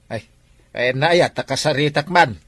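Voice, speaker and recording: An actor playing an impatient, exclamatory adult son in a radio family melodrama. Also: male voice, mid-range voice, unhurried, a bit bright, no room sound. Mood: agitated. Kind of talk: radio drama acting. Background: dramatic music.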